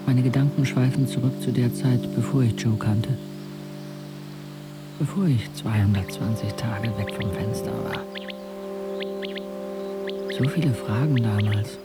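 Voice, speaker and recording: A man's voice: mid-range voice, a little slow, pauses often, loud music behind the voice, close to an everyday voice. An actor playing a brooding, disillusioned young man who narrates his life in an introspective, sardonic way for a radio drama. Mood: searching, disdainful. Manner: introspective, sardonic, literary phrasing.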